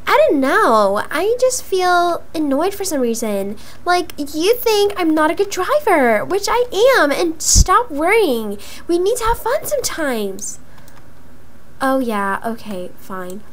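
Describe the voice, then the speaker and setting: A young woman acting out improvised roleplay dialogue for a video game, in an animated manner with drawn-out words. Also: extremely high pitch. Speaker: gaming video creator voicing roleplay characters. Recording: music behind the voice. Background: music.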